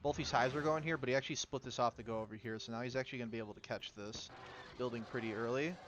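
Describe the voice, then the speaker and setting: A man casting a video game tournament in a relaxed, chatty way, with lots of fillers. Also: medium pitch, racing, lo-fi sound. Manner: chatty, laid-back, filler-heavy. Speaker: tournament caster. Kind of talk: casual game commentary.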